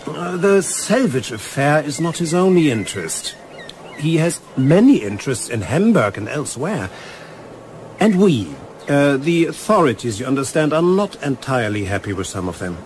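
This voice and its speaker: A man's voice: fairly high pitch, sing-song, normal speed, a little mellow. Male actor as German commander, polite menace, German accent, swooping intonation, radio drama, faint noise behind. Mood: veiled warning. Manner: courteous, insinuating, hesitant phrasing. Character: Von Brüning, suave German naval commander, slyly menacing. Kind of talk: radio play acting.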